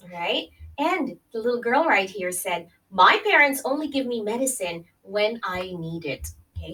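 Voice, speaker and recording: A woman with a fairly high voice leads a children's read-along lesson at a very slow pace. She speaks straight to her young listeners, pausing to explain and check in. The recording places her a little away from the microphone. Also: sharp tone, distant mic, rough recording. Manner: addresses young listeners directly with friendly check-ins like "okay?" and "right?". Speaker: teacher reading aloud to children.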